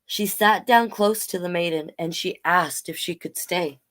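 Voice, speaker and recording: A woman hosting a storytime, reading a picture book aloud with chatty, gently encouraging asides to listeners. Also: on the low side, measured pace. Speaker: children's storytime reader and host.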